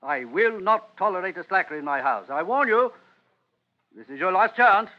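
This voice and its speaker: A man's voice: high-pitched, big pitch swings, moderate pace. Stern British father, dull radio sound. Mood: exasperated. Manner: curt, scolding, formal. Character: stern, disappointed, authoritarian English father. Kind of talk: vintage radio drama acting. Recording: dull vintage radio recording.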